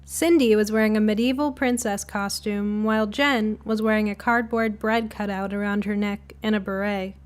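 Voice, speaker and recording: A woman's voice: mid-range voice, fairly even intonation, normal speed, few pauses. A woman reading a scripted short story in the first person, as a storybook narrator.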